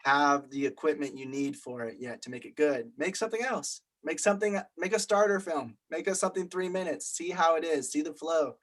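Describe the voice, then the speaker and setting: Male, slightly high voice, conversational discussion leader explaining things informally to a group. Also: fairly quick, hardly any pauses, a bit bright.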